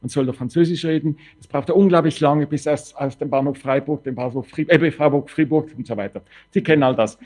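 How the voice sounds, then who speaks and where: A man's voice: on the high side, brisk, hardly any pauses, a little mellow. A man moderating a panel and putting questions in spontaneous speech.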